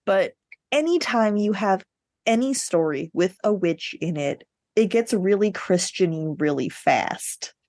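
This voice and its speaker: A woman's voice: mid-range voice, lively intonation, a little slow, darkish tone, dry recording. A woman co-hosting a podcast, talking casually and bluntly about books with strong opinions. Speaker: podcast co-host. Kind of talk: casual book discussion.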